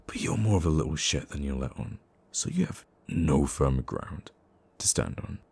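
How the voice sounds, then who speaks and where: A man playing a gruff, teasing supervillain with a secret soft side in an intimate ASMR roleplay, dry and grumbling but affectionate. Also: animated, moderate pace, exaggerated voice. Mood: grumbling, flustered. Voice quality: very deep, gravelly.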